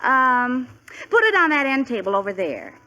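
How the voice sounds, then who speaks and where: An excitable suburban mom in an old-time radio sitcom. Her voice is very high and bright, swooping animatedly as she fusses and insists. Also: moderate pace, lo-fi sound, exaggerated voice. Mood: fussy, insistent. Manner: insistent, exclamatory. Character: excitable, fussy suburban housewife and mother. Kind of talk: 1940s radio sitcom acting.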